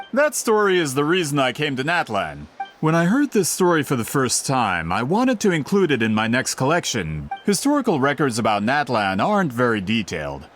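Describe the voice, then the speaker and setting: Man acting an animated, self-important book merchant. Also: mid-range voice, natural voice. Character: self-important male book merchant, smooth persuasive salesman. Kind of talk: scripted video game dialogue.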